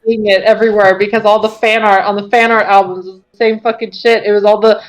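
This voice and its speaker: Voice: mid-range voice, level tone, fast talker, poor audio. A commentator bantering casually in an exasperated, profane way.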